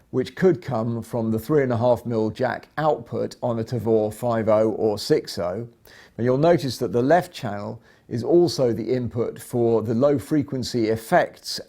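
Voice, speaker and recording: Male product presenter, mid-pitched and soft-edged, measured delivery for an instructional demo. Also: creaky.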